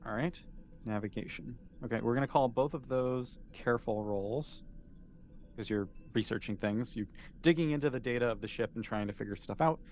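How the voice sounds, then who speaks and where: A man's voice: medium pitch, moderate pace, darkish tone, slight background noise. A man acting as game master, casually narrating a tabletop game and calling for rolls.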